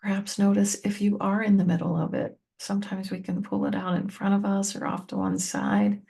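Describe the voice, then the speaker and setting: A woman leading a guided meditation, speaking gently and unhurriedly to the listener in short, spaced-out phrases. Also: mid-range voice, little pitch movement, brisk, gravelly, breathy edge. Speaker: meditation teacher and tapping coach.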